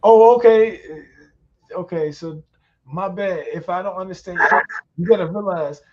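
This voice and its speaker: A man's voice: high-pitched, unhurried, dull audio, a crowd in the background. A man hosting a casual online voice chat, slangy and loose, swearing often and laughing a lot. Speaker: host. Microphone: online call mic.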